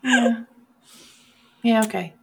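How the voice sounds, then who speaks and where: Female podcast co-host, somewhat high-pitched, dry and teasing, relaxed conversational movie talk. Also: slow pace, vocal fry. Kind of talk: casual film review chat.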